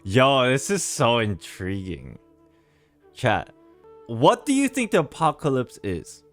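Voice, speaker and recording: A man's voice: medium pitch, sing-song, slow, faint music behind. A man streaming a game with casual commentary, musing and speculating aloud to his chat. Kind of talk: casual live playthrough commentary.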